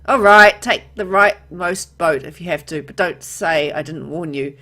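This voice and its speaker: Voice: mid-range voice, measured pace, pushed and bright. A video game player voicing a grumpy, reluctant boatman in a visual novel, curt and grudging. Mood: grudging, warning.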